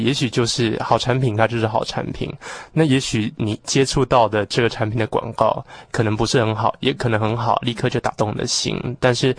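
A man's voice: medium pitch, no echo. Male radio guest, conversational, mid-range voice, close dry sound. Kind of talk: casual studio talk.

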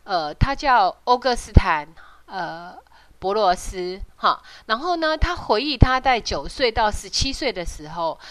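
A woman with a Taiwanese Mandarin accent hosting a podcast, explaining and retelling in an informal, halting way with frequent tag particles. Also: mid-range voice, fairly bright tone. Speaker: podcast host.

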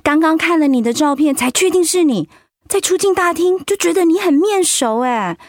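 A woman's voice: very high-pitched, lively intonation, normal speed, dry recording, clean studio sound. An actress playing an outgoing, candid middle-aged woman in a conversational radio drama. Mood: excited recognition. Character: warm, outgoing middle-aged woman, breast cancer survivor.

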